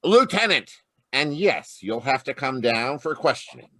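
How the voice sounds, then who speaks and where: A man's voice: medium pitch, very animated, a little slow, pushed and bright, dry recording, heavily acted. A man playing a short-tempered police lieutenant in an old-time radio detective drama, grumbling and blustering. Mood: blustering, irate. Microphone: video call.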